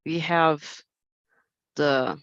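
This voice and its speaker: Brazilian woman, gravelly earnest panelist. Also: low-pitched, steady tone, very slow, fairly bright tone, no room sound, highs cut off. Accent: Brazilian Portuguese. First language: Portuguese. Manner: earnest, searching for English words. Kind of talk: panel discussion.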